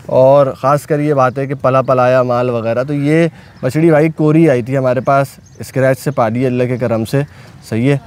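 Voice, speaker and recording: A young man with a Pakistani Urdu accent talks from behind the camera in an outdoor farm yard. His voice sits at a middle pitch, and he speaks with eager, proud enthusiasm, often repeating blessings. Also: darkish tone, a little hiss or hum. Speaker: cattle farm owner. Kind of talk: casual vlog narration.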